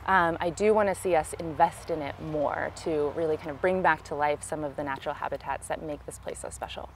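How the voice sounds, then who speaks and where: A woman with a Californian American accent giving measured, explanatory answers in a conversational interview as a local political candidate, recorded outdoors. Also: low-pitched, steady tone, a little hiss or hum. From California, United States. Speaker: city council candidate, interviewee. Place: outdoors in a park.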